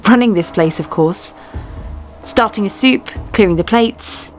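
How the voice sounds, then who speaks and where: A woman's voice: mid-range voice, unhurried, natural voice. A woman playing an affectionate, teasing young wife and princess in a fan dub drama. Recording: light music behind the voice.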